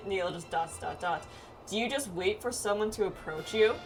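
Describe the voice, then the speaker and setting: A woman playing a game with friends, reading its dialogue aloud casually and haltingly, with reactions of her own. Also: medium pitch. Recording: game music behind the voice. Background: video game music.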